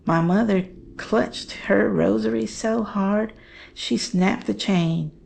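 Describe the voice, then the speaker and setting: A woman playing a haunted daughter recounting a horror story in hushed, halting, measured phrases. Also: medium pitch, vocal fry. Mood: haunted, dread. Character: Jane, haunted woman recounting her family's monster encounter. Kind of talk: slow scripted horror storytelling.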